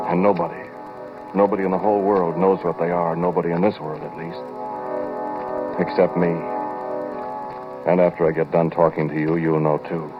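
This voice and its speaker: Haunted scientist narrator, deep and confiding, vintage radio drama, dull recording with music underneath. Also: male voice. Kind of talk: 1940s radio acting, first-person horror narration. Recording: muffled old radio recording over music.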